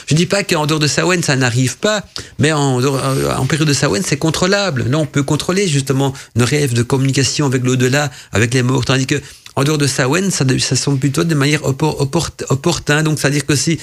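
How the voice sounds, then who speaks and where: A man's voice: medium pitch, vocal fry. A male radio host who is not a native French speaker, reading listeners' messages and interpreting their dreams in a rambling, casual way full of fillers and restarts.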